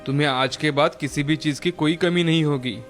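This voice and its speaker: A man's voice: fairly high pitch, steady tone, a little fast, fairly bright tone, faint music behind, lightly acted. Man voicing a tired, kindly old wandering sage. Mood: kindly, grateful. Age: older. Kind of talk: animated moral-story dialogue.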